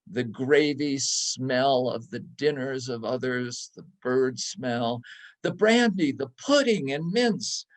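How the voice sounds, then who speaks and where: A man reads a nostalgic literary tale aloud as dramatic storytelling. His pitch is average and his pace very unhurried. He phrases deliberately, lingering over each description. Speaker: reader in a readers theatre.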